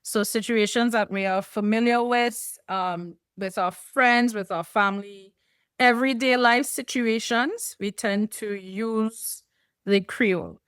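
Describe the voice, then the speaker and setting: Slow, smooth Trinidadian woman. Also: a little high, fairly bright tone, no echo, clean studio sound. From Trinidad and Tobago. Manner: explanatory, measured, with light laughs. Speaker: guest. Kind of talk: podcast interview.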